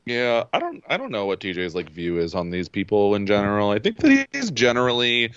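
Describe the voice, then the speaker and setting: Lively, low-voiced man on a dull mic. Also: brisk, clear, resonant tone, dry recording. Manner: animated, laughs often, mock voices. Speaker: podcast co-host. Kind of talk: casual reality-TV recap chat.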